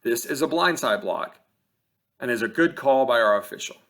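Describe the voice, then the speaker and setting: A man giving scripted instructional narration over game film like an officiating instructor, in measured, matter-of-fact short phrases. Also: medium pitch, expressive, a bit bright.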